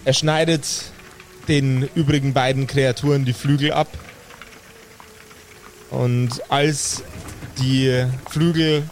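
A man's voice: mid-range voice, fairly even intonation, slow pace, long pauses, slight background noise. A man narrating an improvised tabletop role-playing game as the game master. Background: background music.

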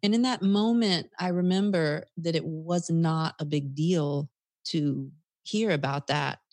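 A woman's voice: deep voice, unhurried, a little mellow, dry recording. A woman from the United States telling her personal story reflectively as an interview guest. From United States, South Carolina.

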